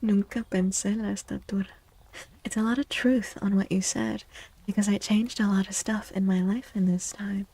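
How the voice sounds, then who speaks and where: A soft-spoken woman streaming ASMR, talking gently in a husky, breathy voice that often drifts into whispers, with playful, quaintly formal wording. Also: medium pitch, fairly even intonation, moderate pace. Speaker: live streamer and tarot reader.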